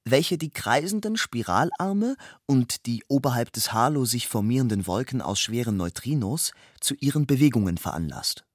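A man narrating a literary text in measured, deliberate phrases, moving between storytelling and quotation. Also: mid-range voice, breathy edge, no echo, studio quality.

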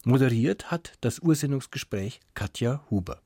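A man with a husky voice of medium pitch narrates a scripted radio introduction. He is measured and formal, and the sound is dry with no room echo. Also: animated, warm tone. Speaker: radio announcer.

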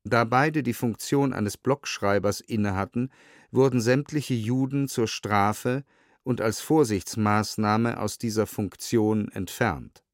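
A man with a fairly low voice reads a documentary text aloud. His delivery is sober and measured, with every word precisely enunciated. The sound is close and dry, as in a studio. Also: no echo. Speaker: reader of historical documents. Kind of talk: scripted documentary audio narration, read aloud.

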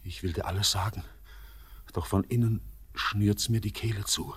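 A husky, haunted young miner. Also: male voice, fairly low pitch, steady tone, normal speed. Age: young adult. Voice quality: hushed, anguished. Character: haunted young male miner, torn and feverish. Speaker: Elis, the miner. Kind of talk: classic radio play acting.

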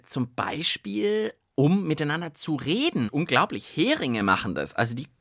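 Children's podcast co-host, very lively intonation, medium pitch, colloquial, jokey, close dry sound. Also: moderate pace, no room sound. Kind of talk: casual playful chat, explaining for children.

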